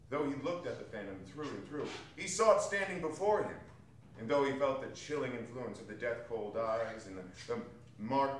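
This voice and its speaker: Animated male narrator in a very echoey hall. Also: fairly high pitch. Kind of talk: dramatic scripted storytelling on stage. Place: theatre hall at a live reading. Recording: very echoey hall, distant mic, some noise.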